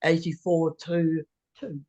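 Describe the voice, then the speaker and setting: An older woman, around her seventies, with a New Zealand accent, gives a measured, reflective personal presentation over a video call. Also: deep voice, little pitch movement, mellow, no echo, muffled recording. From New Zealand, born in England. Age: older, about 70s. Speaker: co-housing founder presenting.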